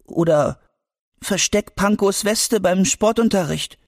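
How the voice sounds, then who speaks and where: A man voicing a calm, clever young schoolboy in a children's audiobook, lightly raising his voice. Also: high voice, slow, no echo, studio quality, pitched above the performer's own voice. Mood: reassuring. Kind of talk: audiobook character voice.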